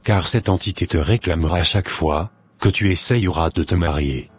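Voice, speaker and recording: A man's voice: sits low, normal speed, soft background music, natural voice. A man playing a cautious, devout young son in scripted cartoon dialogue, speaking earnestly as if advising.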